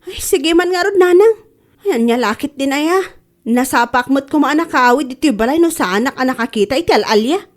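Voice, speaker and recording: A woman's voice: high voice, lively intonation, fairly quick. A woman playing a hardworking young mother and dutiful daughter in a radio comedy-drama. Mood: weary, insistent.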